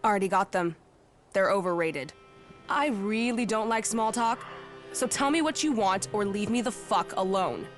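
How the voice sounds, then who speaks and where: A woman's voice: mid-range voice, normal speed, faint music behind, natural voice. A woman playing a tough, hostile outlaw. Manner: curt, contemptuous, foul-mouthed. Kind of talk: video game voice acting.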